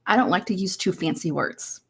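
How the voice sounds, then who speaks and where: A woman's voice: on the low side, lively intonation, fairly quick, vocal fry, slightly rough. A woman interview coach from Hong Kong and Canada, whose first language is not English, speaking energetically on a panel and often repeating words as she starts a phrase. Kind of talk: panel discussion.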